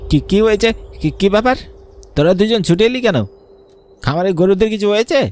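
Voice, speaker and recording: A man's voice: high-pitched, animated, fairly quick. An actor playing a suspicious, bullying landowner in a horror cartoon, scolding and accusing his workers. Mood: alarmed. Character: suspicious, bullying male landowner and farm master. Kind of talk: dramatised horror cartoon dialogue. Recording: music bed under the voice.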